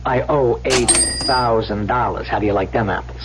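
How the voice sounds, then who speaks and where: An actor playing a small-time swindler pleading with his sweetheart in an old radio drama, speaking in halting, repetitive street slang. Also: fairly low pitch, close to an everyday voice. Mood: bitter confessing. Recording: dull, hissy vintage radio recording with hum.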